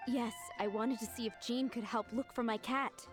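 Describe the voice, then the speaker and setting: A woman's voice: on the high side, steady tone, normal speed, natural voice. A woman playing a polite, earnest townswoman in an anime video game. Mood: concerned, friendly. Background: music.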